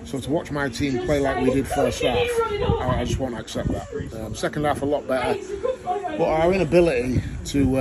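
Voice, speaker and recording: Thirties guy, football manager after a match, talks frank and steady, repeats himself a bit, outdoor wind noise loud. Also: mid-range voice. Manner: frank, measured, repeats words while thinking. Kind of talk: post-match interview.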